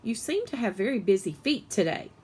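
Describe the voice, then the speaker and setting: A woman voicing a calm, patient female school principal in a storybook, measured and gently pointed. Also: medium pitch, a little hiss or hum, lightly acted.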